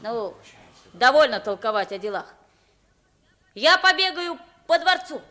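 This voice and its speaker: A frank, inquisitive young boy speaks in a high, swooping voice. He is a naive pauper mistaken for a king, acted in the manner of a 1950s radio play. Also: sing-song, measured pace, pushed and bright, exaggerated voice. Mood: brisk, decisive.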